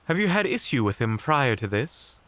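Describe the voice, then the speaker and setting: A man's voice: mid-range voice, big pitch swings, moderate pace, fairly bright tone, no room sound. A man playing a polite, unflappable monster hunter in an audio roleplay, speaking in a formal, prim, theatrical manner. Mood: composed. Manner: formal, prim, wryly courteous.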